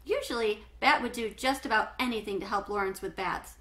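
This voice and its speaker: A middle-aged woman reading a children's book aloud with animated, clearly phrased storytelling. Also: mid-range voice, a little fast. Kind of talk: expressive children's book read-aloud narration. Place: small home living room, indoors.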